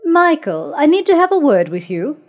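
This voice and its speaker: A woman's voice: high voice, very animated, moderate pace, lo-fi sound, pitched above the performer's own voice. A woman voicing a cold, domineering female assessor who speaks in a clipped, commanding way.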